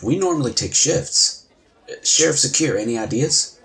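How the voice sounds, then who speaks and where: A man playing a nervous, inexperienced deputy in an audio drama, speaking in a hesitant, halting way. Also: mid-range voice, animated, a bit bright. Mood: unsure. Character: nervous, green male deputy.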